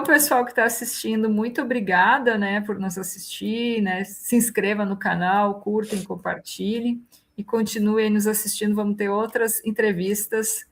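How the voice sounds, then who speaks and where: A woman's voice: mid-range voice, fairly bright tone. Encouraging woman interviewer, video call. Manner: encouraging, frequent "né". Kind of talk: casual interview chat.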